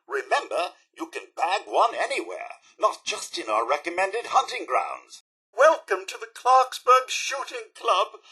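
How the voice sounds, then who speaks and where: Fussy british robot butler for a game, bright raspy voice, super formal and theatrical, kinda snarky. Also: male voice, high-pitched, big pitch swings, measured pace, full character voice. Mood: polite, chipper. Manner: theatrical, formal, arch, clipped politeness. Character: prim, fussy robot butler, male, polite and sardonic. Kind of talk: video game character voice lines.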